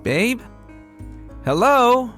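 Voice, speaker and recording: A man voicing a brash, impatient young female pegasus pony with an exasperated, excitable manner in a fan fiction reading. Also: very high voice, very slow, faint music behind, pitched above the performer's own voice, heavily acted.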